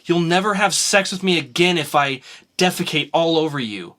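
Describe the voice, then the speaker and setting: A man's voice: on the high side, normal speed, bright, forceful tone. Man doing a crude, panicky woman in labor. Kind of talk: amateur dramatic reading.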